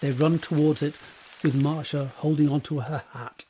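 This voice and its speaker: A man's voice: fairly high pitch, steady tone, moderate pace. A man narrating an audio drama from a script, describing the scene in the present tense.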